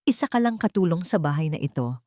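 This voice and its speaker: A proud young man of a wealthy house in a storybook melodrama, acted by a woman. He speaks emphatically and with strong feeling, his pitch swinging widely. The sound is dry. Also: expressive, fast, warm tone, dry recording, natural voice. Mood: angry, cold. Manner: emphatic, commanding, emotional. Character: stern, wealthy young man, easily angered son.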